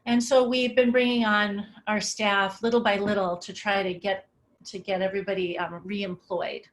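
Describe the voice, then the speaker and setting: Casting note: middle-aged woman presenting over slides, relaxed and conversational, mid-range pitch. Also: normal speed, low-quality recording. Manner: casual, conversational, many "um" fillers. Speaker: webinar presenter.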